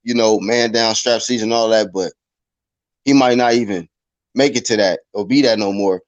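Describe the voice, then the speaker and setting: A man's voice: on the low side, steady tone, normal speed, no room sound, poor audio. A man hosting a casual online talk show, arguing bluntly and reading out viewer chat. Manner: blunt, argumentative, reads chat. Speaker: show host. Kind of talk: heated casual debate.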